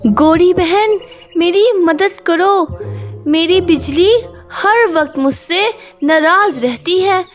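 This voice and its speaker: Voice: very high voice, slow, soft background music. A performer voicing a meek young male husband bird in a Hindi cartoon, plaintive and pleading. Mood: worried, complaining.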